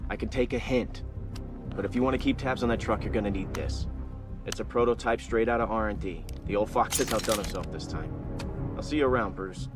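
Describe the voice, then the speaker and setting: An actor playing a cocky, loyal young crimefighter in a video game, wry and casual. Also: male voice, lowish voice, fairly quick, loud music behind the voice, close to an everyday voice. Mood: wry, earnest.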